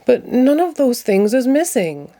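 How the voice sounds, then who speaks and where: A woman's voice: sits high, big pitch swings, unhurried, warm tone, pitched above the performer's own voice, full character voice. A woman voicing an earnest, plaintive, childlike male puppet from a children's storybook. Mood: apologetic. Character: earnest, childlike male puppet who loves his bath toy.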